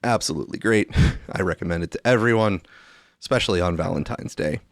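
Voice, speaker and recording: A man's voice: low-pitched, brisk, no room sound, clean studio sound. A man hosting a podcast with casual commentary, wry and roundabout in the way he talks. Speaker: podcast host.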